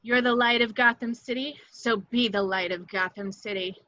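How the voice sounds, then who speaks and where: A woman's voice: fairly high pitch, steady tone, moderate pace, pushed and bright, lo-fi sound. A woman acting a vengeful, seductive catlike antiheroine in a superhero table read, with dramatic taunts. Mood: taunting. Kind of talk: amateur table read of a superhero film script.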